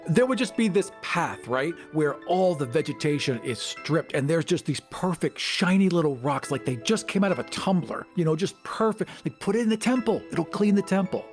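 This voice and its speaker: A man's voice: on the high side, expressive, normal speed, hardly any pauses, faint music behind. A man co-hosting a podcast, telling game stories in casual conversation. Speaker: podcast co-host and game master. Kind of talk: casual chat and storytelling.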